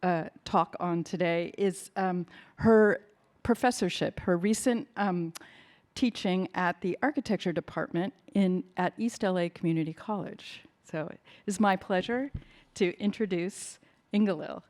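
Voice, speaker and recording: A woman's voice: fairly low pitch, measured pace, a little mellow. A woman hosting a conference who reads a speaker introduction and then loses fluency once she leaves the script, with fillers and restarts. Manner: reads smoothly, then stumbles into fillers when ad-libbing. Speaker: event host introducing speaker. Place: conference hall.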